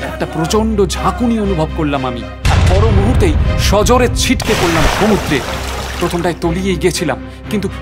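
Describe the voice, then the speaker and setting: A man's voice: sits high, expressive, a little fast, over loud music. An actor playing an earnest sea adventurer who narrates his perilous voyage in a theatrical, suspenseful audio drama style. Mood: suspenseful, dramatic. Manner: theatrical, suspenseful, repeats words breathlessly in peril. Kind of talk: dramatised first-person story narration.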